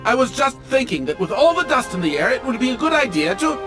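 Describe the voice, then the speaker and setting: A man's voice: extremely high pitch, big pitch swings, fast talker, music in the background. A man playing a pompous, melodramatic automaton train engineer in a video game, with formal, fussy and theatrical diction. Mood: indignant, horrified. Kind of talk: video game character acting.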